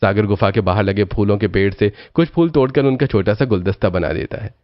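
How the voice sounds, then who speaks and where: A man's voice: fairly low pitch, a little fast, muffled recording. A man narrating a scripted story as its storyteller.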